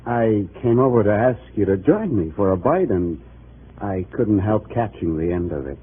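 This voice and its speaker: An actor playing a prying, taunting Communist Party comrade in a 1950s radio drama. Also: male voice, fairly low pitch, expressive, normal speed, breathy edge. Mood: sly. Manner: taunting, insinuating. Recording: tinny old radio recording, faint noise.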